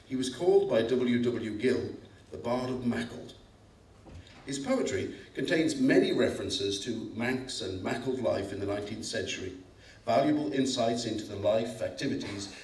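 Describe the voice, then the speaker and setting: Male presenter, middle-aged, mid-pitched, reading prepared text in an echoey hall. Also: moderate pace, a little mellow. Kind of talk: scripted biographical introduction read aloud. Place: school hall stage before an audience. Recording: echoey hall, distant microphone.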